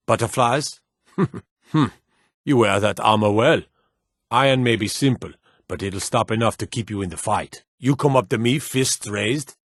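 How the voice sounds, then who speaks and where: Gruff suspicious medieval guard for a video game, deepish voice, no room sound, old timey blunt talk. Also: male voice, dry recording, studio quality. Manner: blunt, suspicious, folksy, archaic turns of phrase. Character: gruff, wary male city guard in a fantasy realm. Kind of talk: video game character barks.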